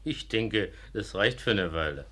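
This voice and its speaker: Grumbling gangster guard, lowish pitch, gruff, slow-witted, radio drama. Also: male voice, expressive, fairly bright tone. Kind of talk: German radio play acting.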